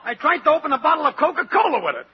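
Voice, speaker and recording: A man's voice: very high-pitched, big pitch swings, very fast. A man playing a whining, hapless, panicky American factory worker in a 1940s radio comedy. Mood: panicked. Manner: whining, agitated. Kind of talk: 1940s radio sitcom acting. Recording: dull, noisy 1940s radio recording.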